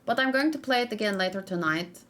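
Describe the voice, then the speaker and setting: A woman streaming live, chatting casually and thinking aloud as she reacts and answers her chat, with plenty of pauses and restarts. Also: medium pitch, animated, a bit bright.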